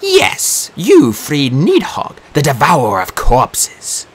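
A man voicing a trio of cold, ancient Valkyrie warrior women in an audiobook, slow and threatening. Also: fairly high pitch, huge pitch swings, husky, pitched above the performer's own voice, full character voice. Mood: menacing. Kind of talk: audiobook character voice.

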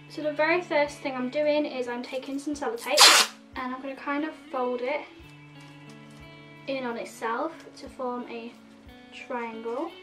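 Woman, 30s, high bright voice, nasal sore throat, chatty explainer, distant mic with faint music. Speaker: makeup vlogger. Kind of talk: casual tutorial talk to camera. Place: indoor room in front of a red plaid backdrop.

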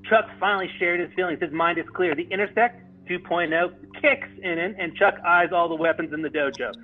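A man's voice: high-pitched, fairly quick, narrow, tinny audio, faint music behind. A man narrating stage directions at a comedy table read, informal, with stumbles and ad-libs.

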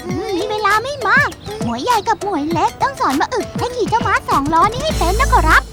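A woman voicing an earnest, proud little novice boy in a cartoon, talking very politely and indignantly, over loud music. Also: very high voice, expressive, quick pace. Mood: determined.

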